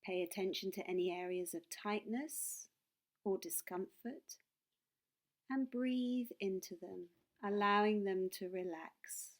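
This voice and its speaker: Slow, calming woman guiding meditation. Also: medium pitch. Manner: calm, soothing, deliberate with pauses. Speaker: meditation and yoga teacher. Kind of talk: guided meditation.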